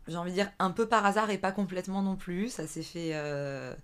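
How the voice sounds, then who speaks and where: A woman in her thirties from the Vendée in France, a fitness coach chatting as a podcast guest, often pausing with filler sounds. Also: lowish voice, fairly even intonation, clean tone. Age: 30s. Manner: hesitant, many filler sounds and restarts. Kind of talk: casual interview chat.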